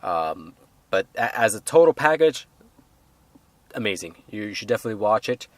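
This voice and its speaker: A man reviewing a film in a casual, unscripted way, halting and rambling as he hedges his points. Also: lowish voice, big pitch swings, dry recording.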